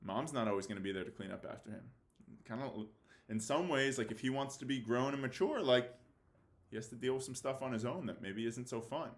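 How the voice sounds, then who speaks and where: A man's voice: mid-range voice, brisk. A man teaching fifth graders in an informal, colloquial way, often checking in with his listeners. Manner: colloquial, with check-in tags like "right?". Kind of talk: informal lesson addressed to students.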